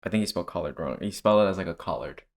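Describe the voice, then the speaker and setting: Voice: low-pitched, lively intonation, racing. A young adult American podcast co-host in casual chat, rambling and playful with many restarts.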